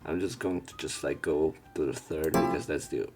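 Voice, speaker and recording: A man's voice: rumbling low, fairly even intonation, moderate pace. A man doing a let's play, reading a visual novel aloud in an everyday voice and adding casual commentary. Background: game music.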